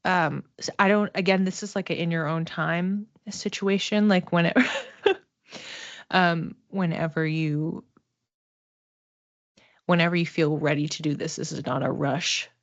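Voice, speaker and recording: A woman giving an improvised psychic reading as a medium, speaking tentatively and haltingly as she searches for words. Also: lowish voice, steady tone. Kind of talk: intuitive reading, spoken off the cuff to a live chat. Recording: slightly dull, roomy sound.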